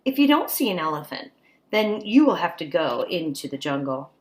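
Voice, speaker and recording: A woman teacher reading a picture book aloud to children, expressive and coaxing, pausing to put questions to her young listeners. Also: sits low.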